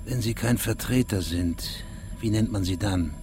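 An actor playing a stern, insistent judge who questions formally and with authority in a radio play. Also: male voice, on the low side, moderate pace, over music, natural voice. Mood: cool, firm. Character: stern, insistent male judge investigating a case. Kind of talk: German radio drama dialogue.

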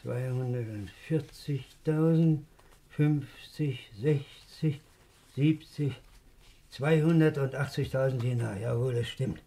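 Husky, hesitant, anxious money-minder. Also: male voice, medium pitch, slow pace, mellow. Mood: fussy, puzzled. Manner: hesitant, worried, muttering to himself. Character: fussy, anxious man minding money, named Anton. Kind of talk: 1950s German radio play acting.

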